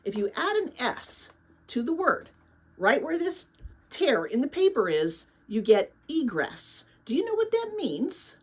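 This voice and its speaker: A woman's voice: high-pitched, sing-song, unhurried. A woman voicing a kindly, thoughtful adult woman in a storybook, earnest and reflective, with sadness and hope. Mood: hopeful, urgent.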